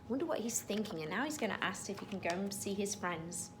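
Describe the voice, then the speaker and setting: A woman's voice: mid-range voice, lively intonation, fast talker, background noise. A woman teacher reading a storybook to young children, stopping to explain things in simple words. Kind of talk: storybook read-aloud with explanatory asides.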